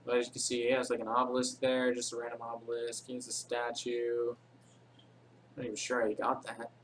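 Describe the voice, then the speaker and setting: A man talks through a game tutorial in an offhand, unscripted way, his pitch sitting in the middle of the usual range. He wanders between points, corrects himself and fills gaps with ums, and calls his own voice boring. A faint hiss sits under his desktop mic. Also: completely flat delivery, brisk, fairly bright tone, rough recording. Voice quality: says he has a boring voice. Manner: rambling, unscripted, many ums and self-corrections. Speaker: game tutorial channel host. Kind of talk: casual screen-recorded game tutorial.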